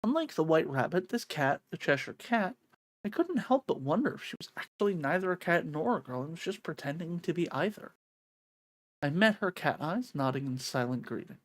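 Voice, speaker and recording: An expressive, excitable streamer reading a visual novel aloud in a dramatic way, with casual sweary reactions. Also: medium pitch, normal speed, no echo.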